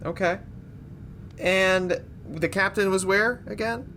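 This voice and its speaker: A man's voice: sits high, takes it slowly, bright, forceful tone, a little hiss or hum. A man playing a tabletop role-playing game, talking casually and asking questions as his character.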